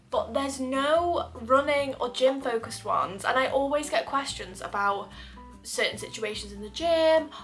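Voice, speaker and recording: A woman's voice: on the high side, pushed and bright. A woman with a nasal voice from a cold, hosting a chatty video, reading posts aloud and laughing often. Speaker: host.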